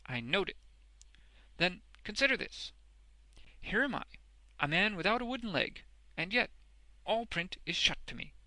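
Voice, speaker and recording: Loud, excitable old Cockney fellow. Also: male voice, sits high, moderate pace, long pauses, no room sound, voice pushed up, exaggerated voice. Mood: emphatic. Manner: blunt, exuberant, emphatic, ungrammatical speech. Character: hearty, uneducated, enthusiastic old retired Cockney working man.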